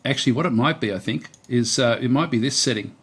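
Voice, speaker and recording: A man's voice: mid-range voice, fast, husky. Casual man thinking aloud through a tutorial. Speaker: software tutorial presenter. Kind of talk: casual unscripted screen tutorial.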